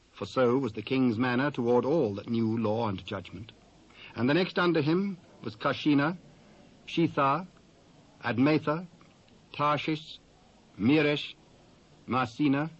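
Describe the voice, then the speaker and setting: A British man narrating scripture in a measured, solemn storytelling manner. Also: medium pitch. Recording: dull old cassette transfer.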